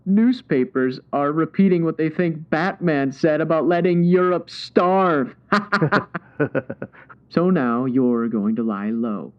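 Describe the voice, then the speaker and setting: A man's voice: a little high, slow pace, dull audio. A man playing a smug, scheming crime boss in the style of an old radio villain.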